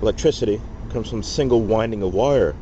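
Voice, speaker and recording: Low-voiced man in noisy lo-fi recording. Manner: halting, step-by-step explaining. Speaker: DIY presenter. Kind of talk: informal hands-on tutorial. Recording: dull lo-fi camera audio, loud noise.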